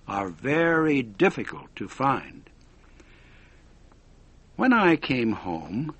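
A man slowly reading a story aloud for English learners, with clear, careful pacing. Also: on the high side, a bit bright, no echo. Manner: slow, clearly paced reading. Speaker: narrator.

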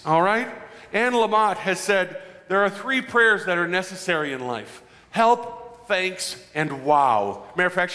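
A man in his forties preaching a conversational sermon as a pastor, animated and joking with his congregation. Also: high voice. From Midwestern United States. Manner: animated, gestures broadly, jokes with the congregation. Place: large church sanctuary. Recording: long church reverb.

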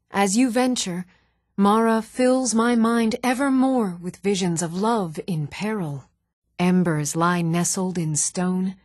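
A serene priestess from a fantasy video game. She speaks in a somewhat low register, with solemn, lofty and measured devotion. The sound is dry, with no room around it. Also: a little mellow, dry recording. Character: serene, devout priestess of a love goddess.

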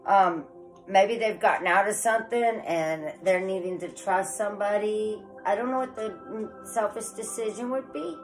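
A woman's voice: medium pitch, poor audio. A woman in her forties with a head cold, chatting casually to camera as she reads tarot cards, rambling and calling her viewers "guys". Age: 40s. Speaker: tarot reader. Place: living room with electric fireplace, indoors.

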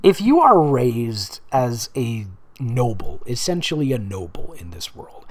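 Male reader-commentator, mid-pitched and very animated, sardonic and conversational. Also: a little slow. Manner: amused, sarcastic, breaks off to comment. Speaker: host reading fan fiction aloud with commentary. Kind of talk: dramatic reading with casual mocking asides.

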